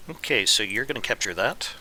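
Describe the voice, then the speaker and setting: A man's voice: lowish voice, lively intonation, pushed and bright, slight background noise, low-quality recording. A man giving casual gameplay commentary, muttering to himself as he plays, with a phlegmy, congested voice. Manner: muttering to himself while playing, loose and unhurried. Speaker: gaming YouTuber.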